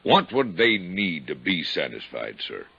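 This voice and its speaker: Pompous elderly mayor, very low, forceful, florid halting speech, 1950s radio drama, muffled recording. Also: male voice, big pitch swings, vocal fry, breathy edge, full character voice. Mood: pompous, probing. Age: older. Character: tall, gray-haired, pompous small-town western mayor.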